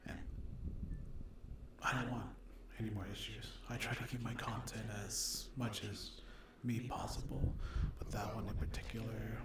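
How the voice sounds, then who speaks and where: A man with a lowish voice gives a soft-spoken ASMR update to his viewers. He is hesitant and often drops into a whisper. The room echoes and there is heavy noise over the recording. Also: flat delivery, moderate pace. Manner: hushed, often slipping into whisper. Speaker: ASMR creator addressing viewers.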